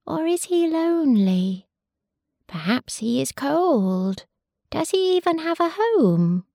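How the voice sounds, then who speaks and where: A woman's voice: high voice, very animated, takes it slowly, creaky, mellow, no room sound, studio quality, pitched above the performer's own voice, exaggerated voice. A woman voicing a group of curious, worried baby sparrows in a storybook tale. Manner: anxious questioning.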